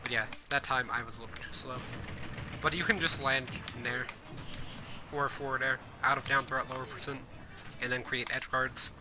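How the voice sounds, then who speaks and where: Hoarse man rambling through game commentary. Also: mid-range voice, steady tone, moderate pace, noisy background. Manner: rambling, many fillers and self-corrections. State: hoarse from coughing. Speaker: streamer and game guide host. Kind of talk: casual gameplay commentary. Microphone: desk mic.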